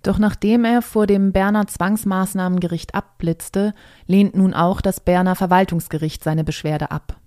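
A woman's voice: medium pitch, steady tone, moderate pace, dark tone, dry recording, clean studio sound. A woman reading a scripted news report aloud as a presenter.

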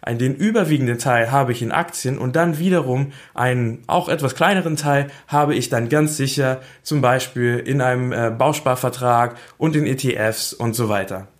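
A man's voice: mid-range voice, moderate pace, few pauses. A young man from Berlin talking casually about himself, with lots of hesitant fillers. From Berlin, Germany. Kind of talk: casual self-introduction.

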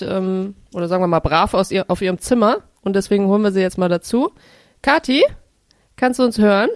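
A woman's voice: mid-range voice, no room sound, clean studio sound. A woman hosting a casual podcast chat and interviewing a guest in an informal way.

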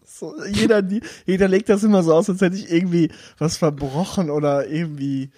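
A man's voice: sits high, fairly even intonation, a little fast, warm tone, no echo, studio quality. A young man in casual podcast banter, teasing and laughing. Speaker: podcast co-host. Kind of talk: casual chat.

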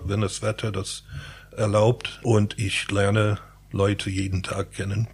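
A man's voice: lowish voice, breathy edge. A man who is a native English speaker, speaking German with an accent as an interview guest, thoughtful and searching for words. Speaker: interview guest, pastor and painter. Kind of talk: reflective interview talk.

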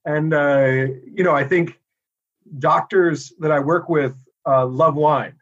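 A middle-aged American man speaking as a guest in a casual interview, relaxed and thoughtful with frequent pauses. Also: on the high side, dull audio. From United States. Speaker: inventor and guest.

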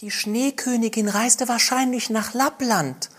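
A woman's voice: steady tone, measured pace, slightly rough. A woman reading aloud and voicing a pair of wood pigeons with a rolling, cooing delivery. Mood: knowing. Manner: rolling "krrr" coos, high and airy. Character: cooing wood pigeons, small shrill birds.

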